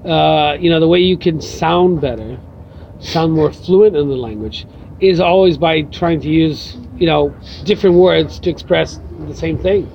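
A man's voice: a little high, unhurried, gravelly. A man answering interview questions reflectively, filling his thoughts with "you know" and "uh". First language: English. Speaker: guest writer. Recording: muffled with faint background noise.

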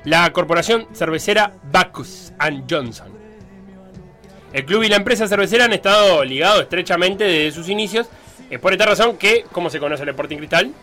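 A man's voice: high-pitched, animated, moderate pace, sharp tone, faint music behind. A man chatting about football on a sports show, rambling with fillers and restarts. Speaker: sports radio panelist. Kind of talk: casual football talk.

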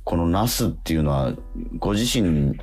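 A man's voice: sits low, animated, moderate pace, darkish tone, faint music behind. A man hosting a radio show, talking casually, politely and effusively with the cast.

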